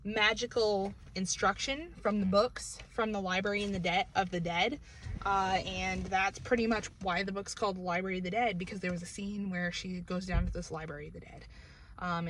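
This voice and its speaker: A woman's voice: on the low side, steady tone, moderate pace, fairly bright tone, a little hiss or hum, low-quality recording. A woman from New England with a local accent, casually reviewing a book from inside her car, rambling with lots of fillers. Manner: rambling, filler-heavy, many "like" and "um". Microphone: camera in the car.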